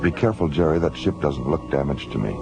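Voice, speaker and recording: An actor playing a space commissioner in a radio drama, speaking in a lively, conversational way. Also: male voice, very deep voice, quick pace, gravelly, music in the background, heavily acted. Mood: wary. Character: male space commissioner, genial yet wary.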